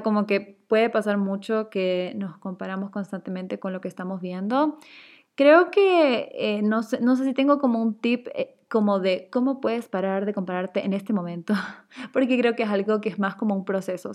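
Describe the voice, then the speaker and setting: A woman's voice: medium pitch, darkish tone. Chatty young Chilean woman. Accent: mild Chilean mixed with neutral. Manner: chatty, rambling, with fillers and self-corrections. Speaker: vlogger answering viewer questions. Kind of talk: casual chat.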